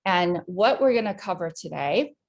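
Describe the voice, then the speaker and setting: A woman's voice: on the low side, expressive, moderate pace. A woman hosting an online company update, introducing the agenda and speakers with frequent ums. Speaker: head of community, moderator.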